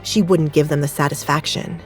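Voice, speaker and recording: A woman's voice: deep voice, steady tone, normal speed, soft background music. A woman reading scripted dramatic narration as the narrator of an audio story.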